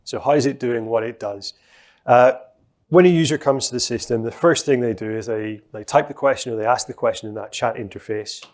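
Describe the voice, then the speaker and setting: Middle-aged male presenter at a conference lectern, steady explainer, mid-pitched, lots of ums. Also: a little fast. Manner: explanatory, steady, with frequent fillers. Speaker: presenter giving a software demo. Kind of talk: conference talk with live demo.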